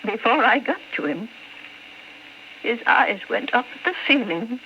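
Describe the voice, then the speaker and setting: A kindly, fretful rooming-house landlady in a vintage radio drama. Her pitch moves in lively swings, and her delivery is halting and deferential. The old recording is muffled, with faint noise underneath. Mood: shaken, sorrowful.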